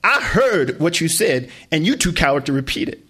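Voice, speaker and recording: A man voicing a domineering middle-aged mother who scolds and threatens her son, with a husky voice. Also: medium pitch, big pitch swings, fast talker, pitched above the performer's own voice. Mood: furious.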